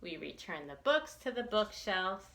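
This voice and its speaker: A woman reading a picture book aloud for young children, expressively and with deliberate enunciation. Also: mid-range voice, sing-song, a bit bright, roomy sound. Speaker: children's librarian storytime reader.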